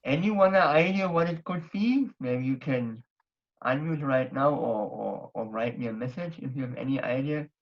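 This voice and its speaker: A man's voice: mid-range voice, moderate pace, a little hiss or hum. A young man from Germany speaking English with a German accent, giving a hesitant online talk full of fillers and restarts. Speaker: presenter at a society members night. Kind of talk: casual online talk with slides. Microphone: computer mic on a video call. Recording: dull lo-fi video call audio.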